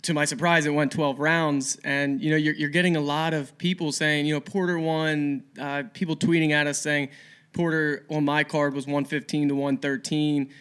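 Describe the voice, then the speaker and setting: A man co-hosting a sports podcast, giving casual fight analysis in an earnest, measured way. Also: a little high, little pitch movement, fairly bright tone, clean tone. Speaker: boxing podcast co-host.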